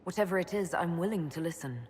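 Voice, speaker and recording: A woman playing a composed, supportive leader in a video game, speaking in a calm, poised and direct way. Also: lowish voice, quick pace, slight background noise, close to an everyday voice. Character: composed, supportive female leader, quietly playful. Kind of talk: video game cutscene acting.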